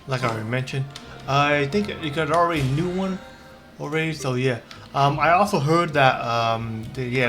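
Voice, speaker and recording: A man chatting casually as a game streamer, rambling and halting, often repeating himself. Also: mid-range voice, a bit bright. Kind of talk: casual livestream chat.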